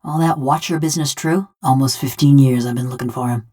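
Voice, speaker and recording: Game role: bronze construct with a village woman's soul. Low female voice, folksy rustic drawl, wry and bent on revenge. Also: steady tone, a little fast, darkish tone. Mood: somber, reflective. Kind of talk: video game character dialogue.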